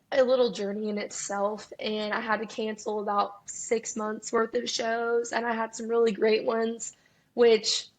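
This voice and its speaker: Raspy-voiced woman in her 20s, guest on a chat show, kinda high voice, super open and chatty. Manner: candid, chatty, laughs often. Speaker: guest musician. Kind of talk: casual interview chat. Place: Nashville.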